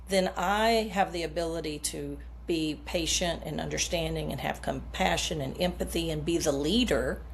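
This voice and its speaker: A middle-aged woman speaks as an interview guest, explaining her coaching work in an earnest, down-to-earth way. Her voice sits low with a gravelly, fry-tinged texture. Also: a little hiss or hum. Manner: earnest, explains with homely metaphors. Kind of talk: podcast interview answers.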